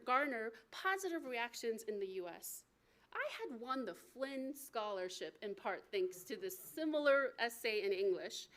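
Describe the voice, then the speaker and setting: An American woman reading aloud from her memoir as its author, in an expressive, deliberate storytelling manner at a live event. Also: high-pitched. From United States. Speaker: author reading from own book.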